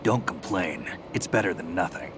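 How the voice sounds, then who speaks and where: Actor as gruff, low-voiced battle-hardened soldier. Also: male voice, moderate pace, noisy background, close to an everyday voice. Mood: terse, businesslike. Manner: terse, brusque, commanding. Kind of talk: video game cutscene acting.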